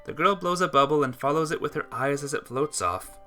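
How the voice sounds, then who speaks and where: A woman's voice: low voice, a little fast. A woman game streamer reading a visual novel aloud and chatting casually with viewers. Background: music.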